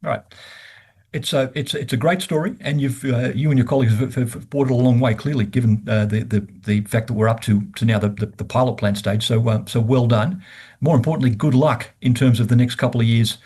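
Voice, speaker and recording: A man from Australia hosting a business interview, asking questions in a halting way and repeating words often. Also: mid-range voice, fairly even intonation, a little mellow, breathy edge. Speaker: interview host.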